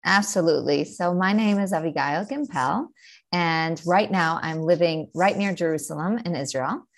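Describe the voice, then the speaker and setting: A woman in her forties with a New York accent talks in a low voice with a gravelly edge. She explains her work with confidence, piling up examples in long lists. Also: normal speed. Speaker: guest, educator and author. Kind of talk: podcast interview.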